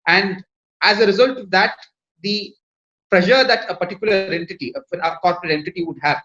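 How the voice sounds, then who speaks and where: A man with an Indian English accent, a Tamil native speaker, gives a measured, formal panel talk over a video call, pausing often on fillers. His voice sits high for a man. The sound is muffled and lo-fi. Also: sharp tone. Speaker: panelist, lawyer.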